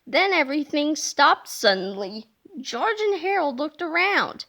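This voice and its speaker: Bright, playful woman reading a children's book. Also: high-pitched, unhurried, gravelly. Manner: animated, playful narration. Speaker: storybook reader. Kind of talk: children's book read-aloud.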